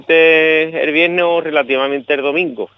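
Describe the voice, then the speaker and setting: A man's voice: fairly high pitch, steady tone, a little fast, clean tone, no echo, lo-fi sound. A man from El Puerto de Santa María with an Andalusian accent, a painter chatting loosely in a radio interview, with many restarts and fillers. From El Puerto de Santa María, Spain.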